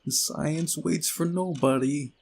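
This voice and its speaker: A man's voice: on the high side, fairly even intonation, slow, creaky, darkish tone, husky, voice pushed up, full character voice. A man voicing a shy, eager, lovestruck young science nerd with a hesitant manner for a visual novel.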